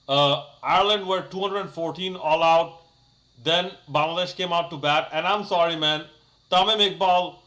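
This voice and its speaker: A man's voice: fairly high pitch, pushed and bright. A young man talking casually to his channel, giving a rambling review of a cricket match full of fillers and restarts. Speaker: cricket YouTuber.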